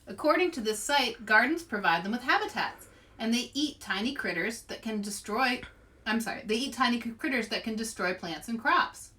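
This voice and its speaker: A woman voicing an eager, curious, bookish young girl in a storybook, with excited, inquisitive delivery. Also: fairly high pitch, moderate pace, far from the mic.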